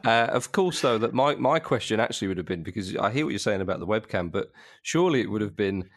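A man with a fairly low voice hosts a casual football show. His pitch moves around a great deal, and he sounds jovial and chuckly, often stopping and restarting as he feels his way through a sentence. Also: lively intonation. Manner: jovial, chuckling, halting delivery. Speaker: podcast host. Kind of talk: casual football podcast banter.

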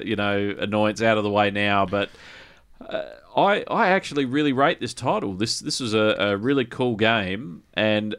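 Aussie guy on a gaming podcast, lowish smooth voice, sweary, kinda halting casual chat. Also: fairly bright tone. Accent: Australian. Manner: sweary, colloquial, halting with repeated phrases.

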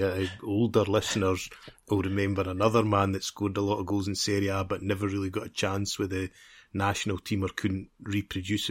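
A man's voice: on the low side, fairly bright tone. A man co-hosting a football podcast in a casual, discursive conversational way.

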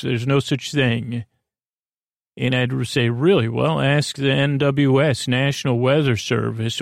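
Creaky-voiced man rambling soothingly at bedtime. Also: mid-range voice, no room sound, studio quality. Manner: lulling, soothing, meandering with tangents. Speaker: podcast host. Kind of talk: rambling bedtime sleep-podcast monologue. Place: small closet.

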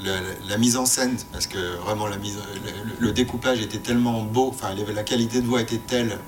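Lower-pitched halting man, faint rumble behind. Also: fairly even intonation. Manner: halting, often restarting phrases. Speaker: sound editor on an expert panel. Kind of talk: informal panel talk. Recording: background noise with low rumble.

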